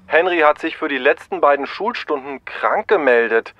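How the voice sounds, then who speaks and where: A man's voice: fairly high pitch, animated, moderate pace, dry recording, voice pushed up. A man voicing a worried, polite father through a telephone filter in a children's story. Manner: concerned, polite. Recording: muffled telephone-style band.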